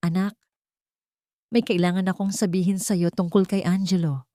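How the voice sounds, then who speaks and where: An elderly mother full of remorse, as a woman narrator voices her in a romance audio story. Her voice is low and pleading. The sound is dry, without any room echo. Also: little pitch movement, normal speed, warm tone, lightly acted. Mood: remorseful.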